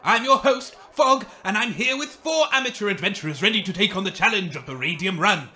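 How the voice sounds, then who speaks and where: A man's voice: sits high, expressive, brisk, pushed and bright. A man playing a showy, grandiose wasteland game show host in a comedic radio play.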